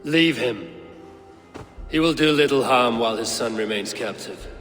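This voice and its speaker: A man plays a Norse high god ruling his realm in a video game cutscene. He is stern and measured, giving formal orders with authority. The voice rings with long reverb over music. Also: animated, a bit bright, lightly acted.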